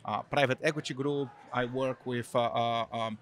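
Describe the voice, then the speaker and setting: A man's voice: mid-range voice, fairly even intonation, moderate pace. A middle-aged man from Brazil with a Brazilian Portuguese accent, a guest answering interview questions in a loose, rambling way full of "like" and "uh". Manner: rambling, many fillers like "like" and "uh". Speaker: guest, marketing executive.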